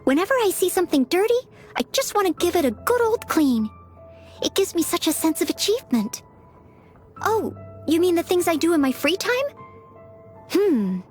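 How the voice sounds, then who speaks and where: An earnest young maid from a video game. Her voice sits high and swings widely in pitch. She speaks politely and modestly, always keen to serve, with music underneath. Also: sing-song, moderate pace, long pauses. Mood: cheerful. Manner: polite, formal, self-effacing. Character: earnest, eager-to-please young maid and aspiring knight. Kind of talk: video game character voice lines.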